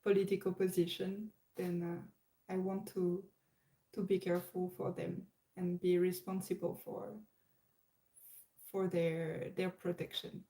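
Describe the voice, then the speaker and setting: Woman, French accent, mellow voice, lowish pitch, hesitant casual livestream chat. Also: little pitch movement, a little slow, gravelly, rough recording. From France. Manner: hesitant, many fillers and restarts. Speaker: livestream host.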